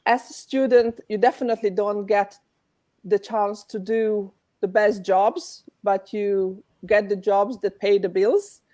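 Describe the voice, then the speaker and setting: A woman's voice: mid-range voice, steady tone, unhurried, warm tone. Woman, Hungarian accent, guest on a video call, reflective answers. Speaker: guest speaker. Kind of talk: webinar interview.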